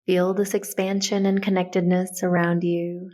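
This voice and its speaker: A woman leading a guided meditation in a soothing, gentle, instructional way. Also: fairly low pitch, little pitch movement, normal speed.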